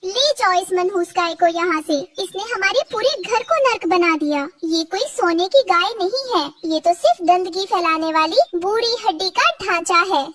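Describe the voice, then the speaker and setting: Woman voicing a very shrill, greedy female crow. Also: animated, moderate pace, hardly any pauses, very noisy. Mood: disgusted, outraged. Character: greedy, spiteful female crow, wealthy schemer. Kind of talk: cartoon story voice acting.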